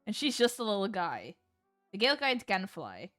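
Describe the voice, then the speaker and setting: A woman's voice: medium pitch, wildly swooping pitch, normal speed, a bit bright. A woman streamer reading a visual novel aloud like a storybook, dropping in casual, playful, sweary asides. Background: music.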